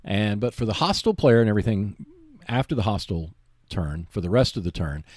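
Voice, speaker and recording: A man's voice: fairly low pitch, expressive, fairly quick, darkish tone, dry recording. A man hosting a gaming show, giving instructional tips in an explanatory, emphatic and reassuring way. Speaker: host of a tabletop gaming show. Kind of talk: instructional tips talk on board wargaming.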